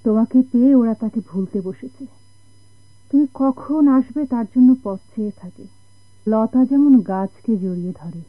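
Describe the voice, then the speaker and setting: Radio drama actress: sorrowful, soft-hearted wife, raised husky voice, Bangladeshi accent, plaintive and halting. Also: female voice, a little high, no room sound, lightly acted. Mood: grateful, wistful. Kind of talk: Bengali radio drama acting. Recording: dull, narrow-band old radio recording.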